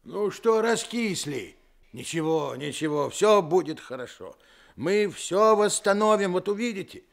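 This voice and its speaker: Kind fatherly man, gravelly voice, old radio drama. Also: high voice, animated, measured pace, heavily acted. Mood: encouraging. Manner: warm, reassuring, fatherly. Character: kindly middle-aged man, generous, reassuring. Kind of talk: 1970s Soviet radio play acting.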